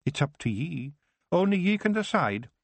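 A blunt, gruff Scottish clan chief, small and fierce, as a man puts him on, pitched high and pushed loud. He talks in broad, hard dialect. The sound is close and dry. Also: very animated, moderate pace, no room sound, heavily acted. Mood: stern.

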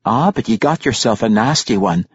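Man as fierce dwarf mother, raised pitch, blunt scolding, fantasy audiobook, husky edge. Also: animated, moderate pace, no room sound, lo-fi sound. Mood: gruff praise. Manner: exasperated, blunt, rustic speech. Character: scolding, proud warrior mother, a dwarf woman.

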